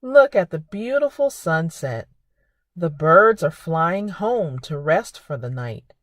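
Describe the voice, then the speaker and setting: A woman hosting a preschool storytime, speaking in simple, expressive phrases for young children. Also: lowish voice, slow, dry recording. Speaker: children's librarian and storytime host. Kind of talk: preschool storytime reading and narration.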